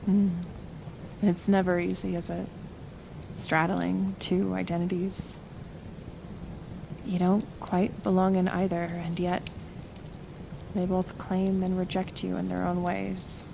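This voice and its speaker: A woman plays a caring forest healer in an intimate ASMR roleplay drama. She talks slowly and hesitantly, with a creaky edge to her gentle voice, and stays stubbornly kind. Clear ambient noise sits behind her. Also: fairly low pitch, completely flat delivery. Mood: reflective. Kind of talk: soft-spoken ASMR roleplay acting.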